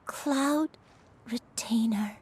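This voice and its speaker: A soft-spoken old granny, crane spirit in disguise, fairly high voice, very slow delivery, game character. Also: female voice, heavy background noise. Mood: tearful. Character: gentle elderly grandmother, secretly an ancient crane. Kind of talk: video game voice acting.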